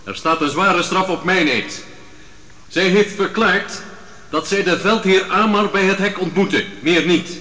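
Stern, sharp-voiced judge in echoing hall. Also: male voice, high-pitched, measured pace. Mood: stern, official. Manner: formal, authoritative, clipped. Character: stern, formal biblical judge presiding over a trial. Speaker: actor in a radio play. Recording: echoing hall with long reverb.

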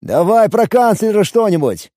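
A man's voice: high voice, big pitch swings, normal speed, dark tone, no room sound, studio quality, pitched above the performer's own voice. A man playing a bold, brash fighter and leader with a commanding, urgent delivery in an audiobook. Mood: wry, urgent.

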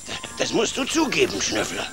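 An actor playing a stern, imperious rat gang boss in a children's radio play, commanding and theatrical. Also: male voice, mid-range voice, huge pitch swings, moderate pace, loud music behind the voice.

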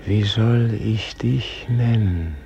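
Tiefe, demütige Männerstimme, getragen langsam. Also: male voice, on the low side, muffled recording, music in the background. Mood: reverent prayer. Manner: reverent, halting, prayerful. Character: humble, self-doubting soul of a martyred Indian leader. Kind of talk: solemn classic German radio play acting.

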